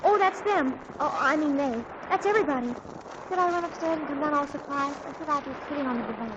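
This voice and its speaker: A breathless, gushing teenage girl played by a woman in a 1940s radio comedy, fussing over every detail of her party. Her voice sits high. It comes through a dull, hissy old broadcast. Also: quick pace, natural voice. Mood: excited, gushing.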